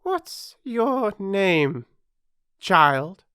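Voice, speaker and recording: A storyteller voicing a furious, imperious queen in a shrill, loud voice, severe and impatient, barking out commands. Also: very animated, extremely slow, smooth, no echo, studio quality, heavily acted. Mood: severe, demanding.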